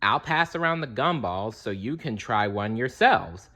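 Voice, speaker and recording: Boastful storybook mad scientist, played by a male reader, grand showman delivery, animated swings in pitch. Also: unhurried. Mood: boastful showmanship. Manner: grand showman announcing and bragging.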